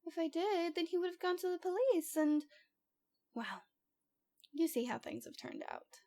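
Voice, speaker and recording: A woman's voice: extremely high pitch, expressive, moderate pace, dark tone. A woman playing a cute, lovesick young stalker girl in an ASMR roleplay, with a coy, pouty, dramatic delivery. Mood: wistful, embarrassed.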